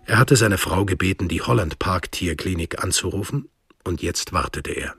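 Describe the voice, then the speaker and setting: A man's voice: sits low, moderate pace, vocal fry, over music. A man narrating a radio drama from a script in standard German.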